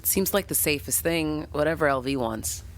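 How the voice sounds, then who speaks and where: A woman's voice: sits low, expressive, a little hiss or hum, poor audio. A woman role-playing a vain sci-fi doctor, now humbled and confused, speaking hesitantly in a tabletop game. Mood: earnest. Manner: hesitant, halting. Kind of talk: improvised tabletop role-play.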